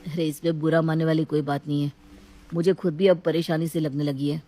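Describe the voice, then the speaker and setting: A woman plays a mild, conflict-avoiding husband in a moral story. The voice is slightly low for a woman, placating and hesitant, with music in the background. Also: flat delivery, a little fast, mellow. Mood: troubled. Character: mild, conflict-avoiding married man, torn between relatives.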